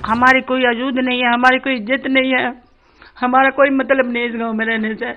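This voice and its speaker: A woman's voice: high voice, level tone, fairly quick, soft background music. A distressed, tearful woman villager speaking in a news interview. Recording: dull lo-fi field audio.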